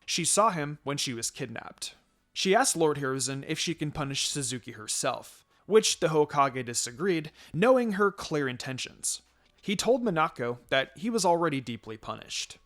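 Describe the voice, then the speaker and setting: A man's voice: a little high, very animated, normal speed, fairly bright tone. A man narrating a written story aloud as a scripted reading. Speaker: fan fiction reader.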